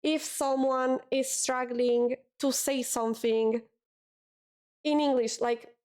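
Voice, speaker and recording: A woman's voice: high voice, fairly even intonation, slow, a little mellow, clean tone. A young woman with an Italian accent, chatting casually with her stream audience, often repeating words and reading chat messages aloud.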